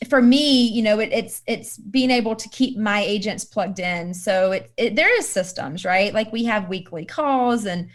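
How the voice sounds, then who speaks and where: A woman's voice: medium pitch, moderate pace, few pauses. A woman in her thirties answering interview questions in a casual, upbeat way and laughing readily. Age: 30s. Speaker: guest.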